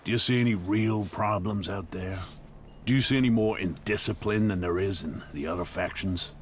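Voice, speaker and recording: A man's voice: low voice, normal speed, slight background noise, close to an everyday voice. A man playing a ruthless gang boss who rules a wasteland town, menacing, boastful and blunt, in a video game. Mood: boastful, scornful.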